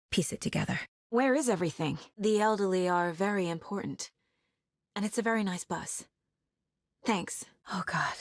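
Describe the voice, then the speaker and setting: A woman playing a focused, intuitive female FBI agent in a video game, speaking in a measured, introspective and intense way. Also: lowish voice, dry recording, studio quality. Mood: despairing. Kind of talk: video game voice acting, investigative monologue.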